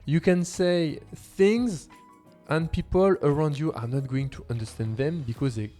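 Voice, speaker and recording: A man's voice: on the high side, measured pace, dark tone, soft background music. A man from France, a native French speaker with a French accent, talking casually as host of a language-learning video in non-native English phrasing. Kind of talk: casual talk to camera.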